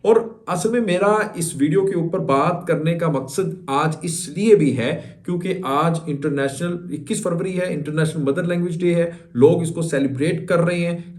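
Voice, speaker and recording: A man's voice: on the high side, darkish tone. A man from Pakistan hosting a news reaction video, lecturing in an indignant, emphatic way.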